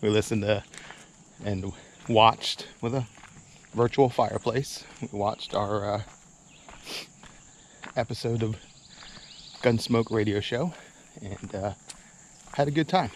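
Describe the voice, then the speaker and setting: A man's voice: on the low side, slow, long pauses. A middle-aged man chatting casually and in a rambling, laid-back way to viewers as he vlogs outdoors at a campground. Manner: laid-back, rambling, chuckles while talking. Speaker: vlog host. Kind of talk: casual outdoor vlog chat. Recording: outdoor noise, birds and insects.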